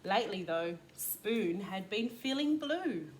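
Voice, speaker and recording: Lively, unhurried woman reading to children. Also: mid-range voice. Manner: expressive, asks listeners questions. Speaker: kindergarten teacher reading a storybook. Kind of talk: children's storybook read-aloud with asides. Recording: clear background noise.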